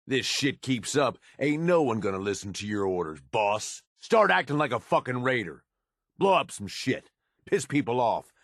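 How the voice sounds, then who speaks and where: An actor voicing a gruff, cynical middle-aged American raider lieutenant in a video game, blunt and profane with a folksy, slangy drawl. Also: male voice, on the low side, fairly bright tone, no echo, studio quality, heavily acted. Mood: frustrated, scolding. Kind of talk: video game companion dialogue.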